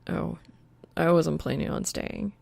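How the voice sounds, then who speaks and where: Deadpan young male gamer acted by a woman narrator for romance audiobook: creaky, lowish, awkward, understated. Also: a little slow, no room sound, full character voice. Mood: awkward, casual. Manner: deadpan, low-key, mumbled.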